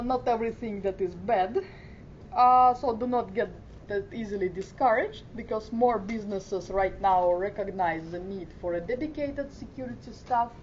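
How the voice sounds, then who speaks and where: Middle-aged woman from Finland, halting conference speaker, dull recording with a low rumble. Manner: hesitant, many fillers, plain delivery. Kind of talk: conference talk. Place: conference room. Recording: muffled conference audio with faint rumble.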